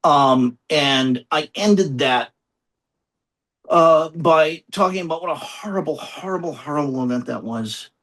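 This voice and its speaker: Husky man, thoughtful and halting. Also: a little high, a bit bright. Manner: halting, thoughtful, circling back and repeating phrases. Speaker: guest author and essayist. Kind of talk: reflective conversational interview answers.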